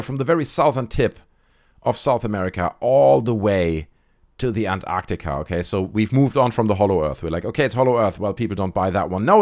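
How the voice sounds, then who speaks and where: A man with a fairly low voice hosts an online video in a casual commentary style. His intonation swings widely and expressively. He talks conversationally, often checking in with short tag questions. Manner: conversational, frequent tag questions like "okay" and "right". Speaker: YouTube host.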